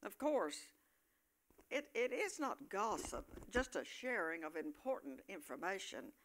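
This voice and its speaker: An older woman narrating a staged play from a script, reading slightly haltingly. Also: medium pitch, big pitch swings.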